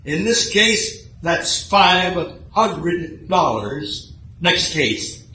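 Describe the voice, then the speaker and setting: Slow, stern judge, played by a man, sharp-voiced. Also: high-pitched, big pitch swings, exaggerated voice. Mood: stern, admonishing. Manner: measured, formal, admonishing. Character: stern, lecturing traffic court judge. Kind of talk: audio theater acting in old-time radio manner. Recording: echoey stage recording, faint noise.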